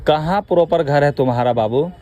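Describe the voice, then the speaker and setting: Bihari guy on a phone call, kinda high voice, grilling someone, very colloquial. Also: normal speed, warm tone. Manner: pressing, interrupting, colloquial. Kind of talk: phone conversation, informal questioning.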